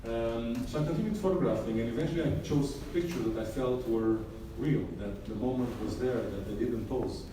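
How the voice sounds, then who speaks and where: A man's voice: medium pitch, moderate pace, few pauses. A middle-aged man from Israel, a photographer, giving a casual artist talk about his portraits with lots of fillers and wry humor. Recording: echoey room, mic far off, noisy.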